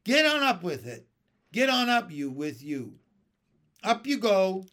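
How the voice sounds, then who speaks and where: A man's voice: high voice, very animated, a little slow, fairly bright tone, heavily acted. A man voicing a fat, greedy old aunt with a pompous, fussy manner. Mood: scheming.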